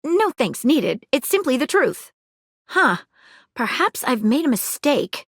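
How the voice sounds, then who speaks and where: A woman's voice: high-pitched, very animated, slow, fairly bright tone, husky, no room sound, studio quality, full character voice. A woman voicing an earnest female detective who is a household object in a dating game, with formal, precise phrasing. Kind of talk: game dialogue clips. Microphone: studio booth mic.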